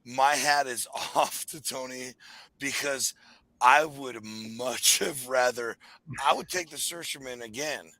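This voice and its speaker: A man chatting casually with friends on a stream, excitable and rambling, quick to laugh. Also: medium pitch, no room sound, rough recording. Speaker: streamer co-host. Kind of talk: casual group chat.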